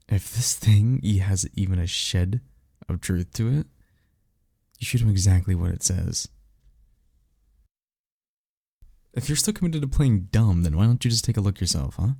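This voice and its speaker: A man's voice: deep voice, moderate pace, pauses often, mellow, slightly rough, no echo, studio quality. A man playing a cocky, easygoing vampire mentor and former archmage in a slangy, offhand audio roleplay, teasing and swearing casually. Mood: incredulous, pressing.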